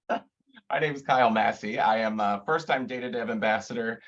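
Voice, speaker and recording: A man's voice: lowish voice, moderate pace, fairly bright tone. A man from Ohio, co-hosting a user group session on a video call, slightly congested, laughing often and joking about himself. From Cincinnati, Ohio. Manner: laughs often, self-deprecating. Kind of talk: casual video call presentation. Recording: muffled lo-fi call audio.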